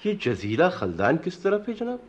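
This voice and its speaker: An actor playing an earnest, devoted astrologer in a radio drama, formal and deferential, with theatrical Urdu diction. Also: male voice, high voice, huge pitch swings, normal speed. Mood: eager, polite. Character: courteous, earnest astrologer and devoted foster-brother of princess. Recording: noisy radio play recording.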